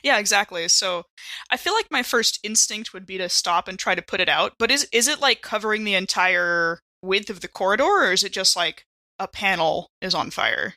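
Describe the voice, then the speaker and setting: A woman's voice: medium pitch, animated, normal speed, pushed and bright, dry recording. A woman chatting casually as a player in a tabletop roleplaying game.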